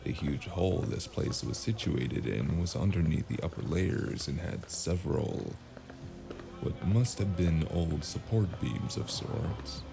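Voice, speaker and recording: A man's voice: low-pitched, vocal fry, full character voice. A man playing a dry, weary, hesitant investigator in a cyberpunk noir audio drama. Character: male freelance investigator, dry, weary, awkwardly flirtatious noir loner. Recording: music behind the voice.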